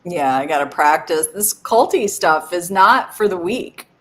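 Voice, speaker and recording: A woman's voice: fairly low pitch, expressive, measured pace, a bit bright. A woman co-hosting a casual live stream, giggly and a bit phlegmy, greeting viewers by name. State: phlegmy, coughing.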